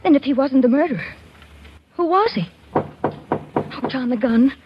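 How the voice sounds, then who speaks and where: An anxious, high-voiced radio heroine. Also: female voice, animated, unhurried, loud music behind the voice. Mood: breathless alarm. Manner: breathless, dramatic. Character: anxious, devoted young female companion of the hero. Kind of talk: 1940s radio melodrama acting. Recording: muffled, very noisy 1940s radio recording.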